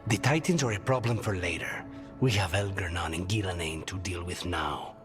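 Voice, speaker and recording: A low male game character voiced by a man, brooding and hard-edged. He speaks bluntly and with distrust while music plays clearly underneath. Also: moderate pace, lightly acted. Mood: distrustful. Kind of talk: video game cutscene acting.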